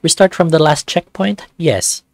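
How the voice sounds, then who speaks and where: A man's voice: a little high, expressive, measured pace, gravelly, dry recording, clean studio sound. A man reading a visual novel aloud for his channel, with casual, hesitant asides. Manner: casual, hesitant, frequent "uh" fillers. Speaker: YouTube visual novel reader.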